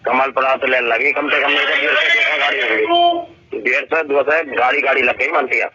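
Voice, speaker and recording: A man's voice: medium pitch, wildly swooping pitch, moderate pace, gravelly, heavy background noise. A man with an eastern Rajasthan Bharatpur accent chatting casually and boastfully, rambling and repeating himself on a recorded phone call. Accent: eastern Rajasthan (Bharatpur) regional Hindi.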